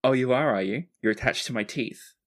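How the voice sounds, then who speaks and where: A tabletop player voicing an easygoing, protective male fighter in a fantasy game, plain-spoken and gently teasing. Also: medium pitch, brisk, vocal fry, close to an everyday voice.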